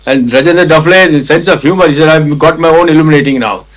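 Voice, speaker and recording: An older Indian man, a retired army officer, recounting a battle in conversational detail, with a loud commanding voice, acting out quotes and often clearing his throat. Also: fairly high pitch, fast. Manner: loud commanding voice, vivid retelling with acted quotes. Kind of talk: conversational war storytelling.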